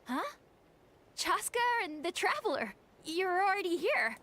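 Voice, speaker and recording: A woman's voice: very high voice, sing-song, unhurried, fairly bright tone, slight background noise, exaggerated voice. An actress voicing an eager young girl trainee in a video game. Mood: excited, eager.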